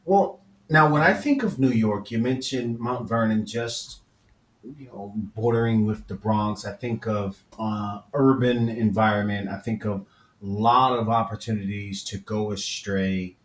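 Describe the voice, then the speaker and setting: Low-voiced man in an echoey room. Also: a little slow. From Florida, USA. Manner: thoughtful, searching questions with frequent restarts. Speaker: podcast host. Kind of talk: conversational interview. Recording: echoey room with faint background noise.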